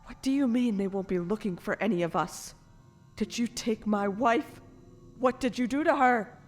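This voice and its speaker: A woman playing a frightened but defiant noblewoman in an audio drama, with a halting, stammering, anguished delivery. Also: on the high side, soft background music, lightly acted. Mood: defiant, suspicious. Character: distraught revived noblewoman, mother, frightened and defiant.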